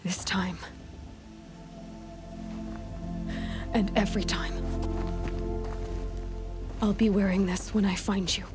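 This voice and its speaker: An actress playing a determined, loyal young outcast hunter in a video game, speaking in an earnest, plainspoken way. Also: female voice, medium pitch, steady tone, a little slow, close to an everyday voice. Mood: pleading, defiant. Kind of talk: dramatic video game cutscene acting. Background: music.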